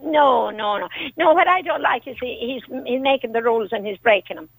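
Cheeky gravelly woman caller. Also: fairly high pitch, rough recording. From County Limerick, Ireland. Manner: cheeky, quick quips, repeats herself. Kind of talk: cheeky call-in banter.

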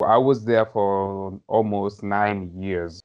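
Low-voiced Somali-born man, dull audio. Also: steady tone, slow pace. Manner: thoughtful, hedging, with light laughs. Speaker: podcast guest. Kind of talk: reflective personal storytelling.

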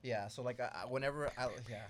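A man chatting casually in a bedroom, talking fast and laughing often, with plenty of swearing. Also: medium pitch, a loud crowd. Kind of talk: casual chat.

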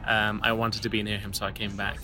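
A young man from Syria telling his life story casually in an interview, relaxed and quick to laugh, recorded on a phone. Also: on the low side, poor audio. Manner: relaxed, self-deprecating, laughs easily. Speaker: interview guest. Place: room with a piano. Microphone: iPhone recording. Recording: rough phone recording, loud piano behind. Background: loud piano music.

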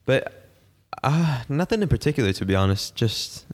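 A man's voice: medium pitch, big pitch swings, normal speed. A man whose first language is Italian, chatting casually in an earnest, reflective way with some hesitant phrasing. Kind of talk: casual chat. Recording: long hall-like reverb.

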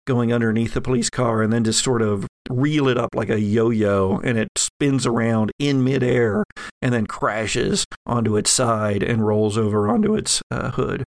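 A man acting as game master, narrating a horror tabletop game in a halting, descriptive way. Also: medium pitch, creaky.

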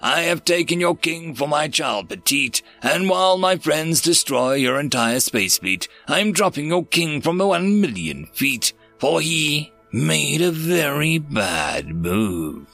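A man's voice: on the high side, big pitch swings, slow, creaky, hardly any pauses, fairly bright tone, soft background music, heavily acted. A man voicing a vengeful, wounded human father in a science fiction storybook, with menacing, declamatory rhymed speech. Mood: triumphant.

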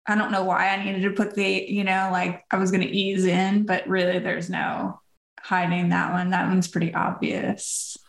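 A woman's voice: lowish voice, monotone, normal speed, vocal fry, reverberant. A woman co-hosting a podcast, talking unhurriedly and laughing easily, trailing off as she thinks aloud. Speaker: podcast co-host. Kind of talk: casual podcast chat.

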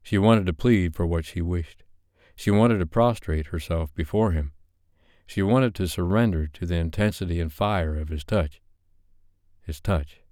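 Low-pitched male romance audiobook narrator. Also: unhurried, a little mellow, no room sound, clean studio sound. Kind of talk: dramatic scripted romance narration.

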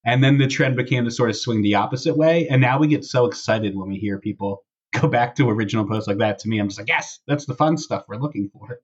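A man's voice: mid-range voice, fast, slightly rough. A man hosting a casual podcast in a wry, informal way, recorded through a wireless earbud microphone. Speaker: podcast host. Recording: dull, lo-fi wireless earbud audio.

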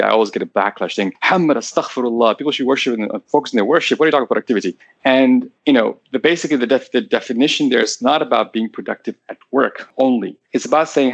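A man from Tanzania, a podcast guest in his thirties, telling his story in a quick, animated conversational way with lots of fillers. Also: medium pitch, no room sound. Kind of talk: conversational interview.

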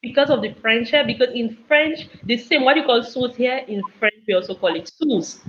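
A young woman, chatting casually as a guest and telling personal anecdotes in an animated way, acting out bits of dialogue. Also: fairly high pitch, normal speed, background noise. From Cameroon. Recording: dull, lo-fi audio.

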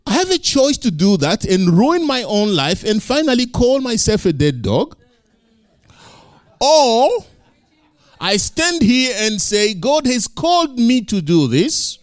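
Emphatic preacher man, lively pitch. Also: slow, dry recording, clean studio sound. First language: not English. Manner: emphatic, rising to loud peaks, repeats lines for effect. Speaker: pastor. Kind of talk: sermon.

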